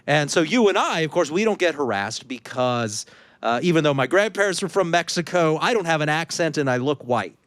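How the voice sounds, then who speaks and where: A man hosting a casual podcast, his pitch a touch high and swinging widely as he talks. He laughs often and slips into mimicking other people mid-story. The sound is dry and close, with no room echo. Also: lively intonation, brisk, a bit bright. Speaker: podcast host and editor. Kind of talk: casual conversational interview.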